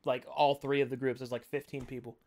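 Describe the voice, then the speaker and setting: A man's voice: medium pitch, level tone, fast talker, a little hiss or hum. A man acting as dungeon master, chatting casually with players and walking them through setup. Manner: laid-back, talks players through software setup. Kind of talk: casual chat setting up a game.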